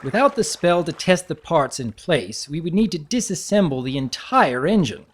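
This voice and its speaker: Man playing a genteel pony baron, lighter and lively. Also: on the high side, normal speed, husky, voice pushed up. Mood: instructive, amused. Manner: wordy, mock-formal, gently teasing. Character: genteel young male pony baron, bookish, kindly, playfully teasing.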